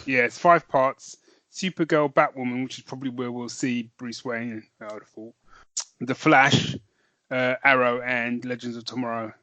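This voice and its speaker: A man's voice: mid-range voice, moderate pace, dry recording, lo-fi sound. A man co-hosting a podcast in casual chat, telling stories with lots of laughter and a habit of saying "man". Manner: laughing, animated storytelling with "man" and "mate". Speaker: podcast co-host.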